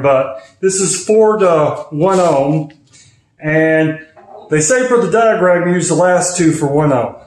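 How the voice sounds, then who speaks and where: A man in his thirties giving a casual, offhand hands-on product review and tutorial while he works. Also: fairly high pitch. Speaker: host of a DIY car audio video. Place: home garage, at the car and workbench. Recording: roomy echo, faint noise and clicks.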